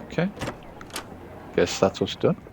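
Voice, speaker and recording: A man's voice: measured pace, slight background noise. A man streaming a game, reading its story text aloud and commenting as he goes, acting out the dialogue. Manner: acts out dialogue while reading.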